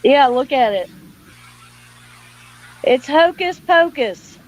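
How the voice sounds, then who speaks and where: A woman's voice: high-pitched, expressive, slow pace, low-quality recording. A woman hosting a casual livestream, chatty, folksy and welcoming. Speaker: livestream host.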